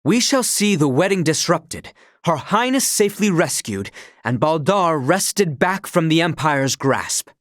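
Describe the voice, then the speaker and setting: A man's voice: a little high, expressive, unhurried, dry recording, studio quality. A man voicing a loyal, duty-bound young knight in a video game, speaking in a formal, stately, earnest way. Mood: resolute. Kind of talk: video game voice acting, formal archaic dialogue.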